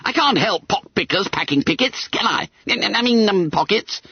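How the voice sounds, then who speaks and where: A man voicing a fat, greedy schoolboy who squeaks, stammers and babbles in self-pity. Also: expressive, normal speed, husky, dry recording, lo-fi sound, full character voice. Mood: pleading.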